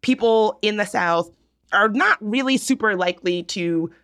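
Young female co-host from the South, animated and opinionated, everyday mid-range voice. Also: medium pitch. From Virginia, US South. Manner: animated, opinionated, drawn-out storytelling. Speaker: podcast co-host. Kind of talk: casual chat.

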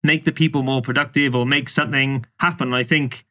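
A man's voice: medium pitch, steady tone, a little fast, pushed and bright. A man speaking as a podcast guest in a casual, musing tech discussion.

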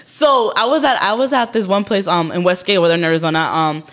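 Quick-talking young woman, candid and salty. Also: on the low side, fairly even intonation, fairly bright tone. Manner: candid, swears freely, acts out quoted dialogue in storytelling. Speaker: podcast guest. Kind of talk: casual podcast chat.

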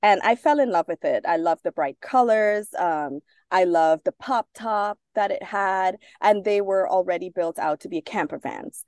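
A woman from Trinidad, a guest author speaking in a relaxed interview, thoughtful and sprinkled with fillers and restarts. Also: mid-range voice, moderate pace, hardly any pauses, dry recording, clean studio sound. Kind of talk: casual interview talk.

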